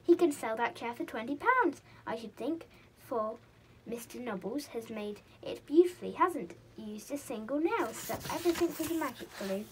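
A woman's voice: a little high, lively intonation, normal speed, a little hiss or hum, lightly acted, rough recording. A woman voicing a clever, mischievous male pixie in a children's storybook. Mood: gleeful.

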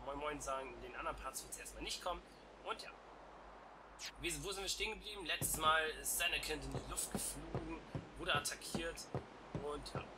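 Young male let's player, slightly high voice, stuffy cold, noisy home headset. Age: young adult. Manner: chatty and relaxed. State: congested with a cold. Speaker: let's play host. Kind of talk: casual game commentary.

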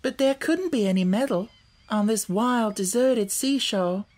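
A woman's voice: medium pitch, lively intonation, a little slow, voice pushed up. A woman voicing an earnest, outspoken young country girl in a storybook, speaking emphatically. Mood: earnest, insistent. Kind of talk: storybook character voice.

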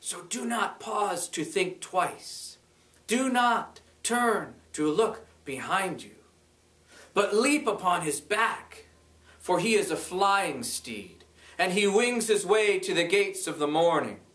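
A middle-aged man reading aloud from an inspirational book, deliberate and dramatic, with emphatic pauses. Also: high-pitched, expressive, a bit bright. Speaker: reader, blog creator. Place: small bright room indoors. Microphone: camera a few metres away. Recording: roomy echo, distant microphone.

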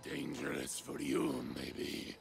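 Sick wild hermit from a video game, super gravelly and slow, pitch swings all over. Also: male voice, very animated, over music, full character voice. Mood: sly, proud. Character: sick wild man living among lions as brothers.

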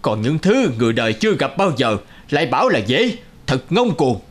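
An actor playing a pompous, greedy middle-aged mandarin in a cartoon folk tale, haughty and self-satisfied. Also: male voice, medium pitch, very animated, brisk. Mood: scornful.

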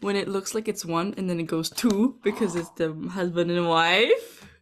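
A woman's voice: lowish voice, normal speed. A woman from Macau co-hosting a podcast from her home over a video call, chatting in an easygoing way in English and Cantonese. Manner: easygoing, laughs often. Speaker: podcast co-host. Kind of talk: casual chat mixing English and Cantonese. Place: home in New York.

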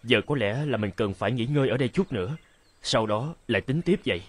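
A man's voice: medium pitch, fast talker, slight background noise, lightly acted. An actor voicing a kind, polite young male traveller in a cartoon. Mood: weary. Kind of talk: animated cartoon dubbing. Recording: music behind the voice.

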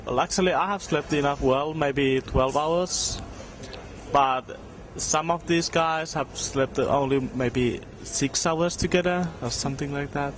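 A young exchange student from Finland, answering in a relaxed, halting way into a handheld mic. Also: male voice, a little high, a little hiss or hum. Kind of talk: casual interview answers. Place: lounge at a game jam. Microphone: handheld mic held by interviewer.

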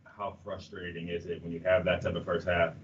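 A deep-voiced male reporter puts questions to a player, halting now and then as he restarts. He sounds far from the microphone, with dull, lo-fi audio and a faint hiss of room noise underneath. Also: little pitch movement. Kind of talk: press conference questions.

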